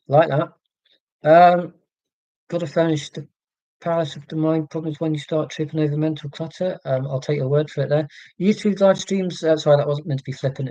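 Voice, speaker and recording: A man live streaming from home and reading chat comments aloud, talking in a rambling, halting way with many ums and restarts and a voice he calls monotonous. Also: on the high side, dry recording, low-quality recording. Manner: self-described monotonous voice.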